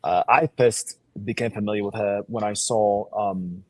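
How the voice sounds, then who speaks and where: This man has a low voice with a raspy edge and speaks in a put-on British accent. He talks like an actor in an interview selling himself, with confident, emphatic, boastful phrasing and plenty of slang. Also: fairly even intonation, normal speed, a little mellow, no echo. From born in Sierra Leone, grew up in Seattle. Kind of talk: animated self-promotional interview talk.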